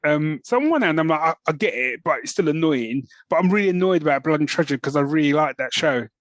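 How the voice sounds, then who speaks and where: Higher-voiced man, casual podcast co-host. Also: expressive, fast talker, dry recording, clean studio sound. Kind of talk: casual chat.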